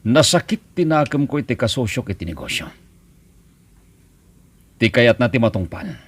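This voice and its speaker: A man playing a calculating, commanding crime boss in an Ilocano drama, husky-voiced, deliberate and authoritative. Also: medium pitch, very animated, no room sound. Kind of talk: Ilocano radio-style drama acting.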